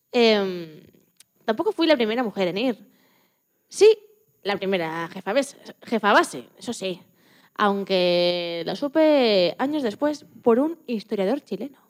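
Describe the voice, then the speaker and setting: A woman from playing a lively, joking retired Antarctic scientist in her eighties in a radio interview, often restarting her sentences. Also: medium pitch. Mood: matter-of-fact. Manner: chatty, joking, halting with restarts. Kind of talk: scripted mock radio interview.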